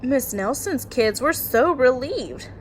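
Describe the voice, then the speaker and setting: Unhurried woman teacher reading a bedtime story. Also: high-pitched, animated, slight background noise, low-quality recording. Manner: addresses young children directly, encouraging and explanatory. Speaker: teacher reading aloud to her class. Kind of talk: expressive picture-book read-aloud with casual asides.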